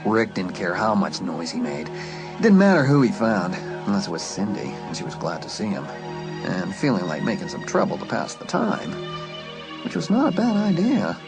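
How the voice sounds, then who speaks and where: A man's voice: on the low side, very animated, moderate pace, poor audio. A man narrating a horror audiobook in a suspenseful, dramatic storytelling manner. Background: loud music.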